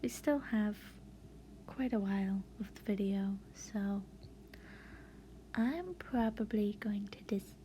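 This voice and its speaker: A woman's voice: medium pitch, slow, long pauses, dark tone, a little hiss or hum, poor audio. A woman giving a casual, rambling voice tutorial as a voiceover, with a hesitant, giggly and self-deprecating manner. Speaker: online video creator giving a voice acting tutorial. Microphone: voice-over recorded to accompany a speed paint.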